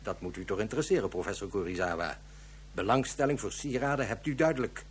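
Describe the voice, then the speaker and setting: An actor playing a grave, cautious interplanetary police inspector in a radio drama, speaking in a deliberate, official manner. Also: male voice, lowish voice, expressive, husky. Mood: persuasive.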